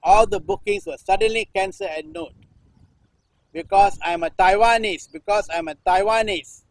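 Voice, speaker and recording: A man in his sixties from Singapore, a performance artist reading news text aloud in halting runs, restarting phrases and repeating a refrain. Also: high voice, lively intonation, creaky, no room sound, rough recording. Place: temple-like cage at an art festival.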